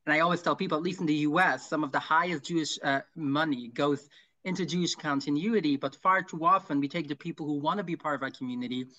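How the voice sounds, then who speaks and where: A man's voice: a little high, fast, sharp tone. A man speaking as a guest rabbi in an earnest, opinionated way, over dull lo-fi call audio.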